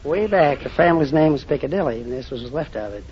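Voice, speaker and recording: An American man chatting haltingly as a contestant on an old radio quiz. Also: a little high, expressive. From United States. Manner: hesitant, halting. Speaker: quiz show contestant, chiropodist. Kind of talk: unscripted chat on a radio quiz. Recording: muffled old radio recording, some noise, audience.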